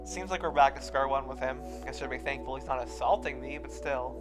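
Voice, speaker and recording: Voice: mid-range voice, brisk, over music. A person reading a visual novel aloud with friends, moving between narration and casual banter.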